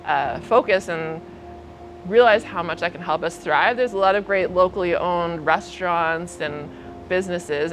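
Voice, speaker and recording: Woman advocate, lower pitch, measured and articulate interview delivery over faint music.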